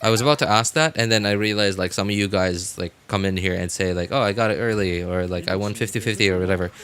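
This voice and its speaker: A man's voice: low-pitched, fast talker, a bit bright. A man chatting casually on a game livestream, talking to viewers in a hesitant way and often repeating his phrases. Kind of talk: casual livestream chat, reading and answering viewer comments. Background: background music.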